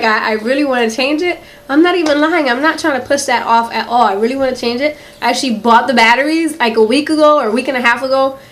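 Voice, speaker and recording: A woman's voice: fairly high pitch, fairly bright tone, a little hiss or hum. A young woman with a Philadelphia accent, chatting casually to camera in a rambling way, with lots of "um" and "like". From Philadelphia, USA. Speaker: beauty vlogger. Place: quiet room in a house.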